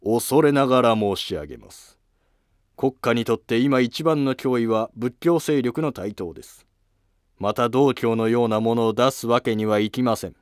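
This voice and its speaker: A man's voice: mid-range voice, darkish tone, smooth, no echo, studio quality, close to an everyday voice. A man playing a guarded court nobleman in a historical drama, with stiff, archaic, formal speech.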